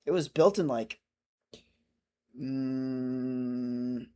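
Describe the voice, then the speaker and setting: A man's voice: mid-range voice, drawn-out words, dark tone, clean tone. A man of twenty-five chatting casually on a livestream, answering viewer comments in a rambling, self-correcting way. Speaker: streamer.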